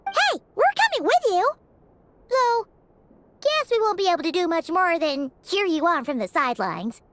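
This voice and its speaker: A woman voicing a small, childlike fairy companion in a video game, squeaky, perky, eager and blunt. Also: sing-song, moderate pace, fairly bright tone, a little hiss or hum, heavily acted. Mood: cheerful, eager. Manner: perky, speaks of self in third person. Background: background music.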